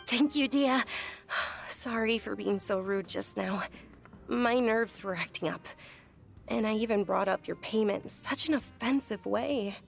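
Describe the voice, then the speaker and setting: A woman's voice: medium pitch, unhurried, vocal fry, faint music behind. An actress playing a polite but headstrong young noble lady in a game, speaking earnestly. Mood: gentle. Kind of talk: game voice acting.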